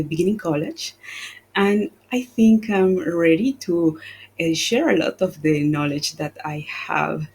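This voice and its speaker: A woman in her forties whose first language is Spanish, speaking as a panel guest in an unhurried way, often laughing and searching for words. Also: on the low side, creaky, darkish tone, breathy edge, low-quality recording. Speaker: travel agent, panel guest. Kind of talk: casual panel chat.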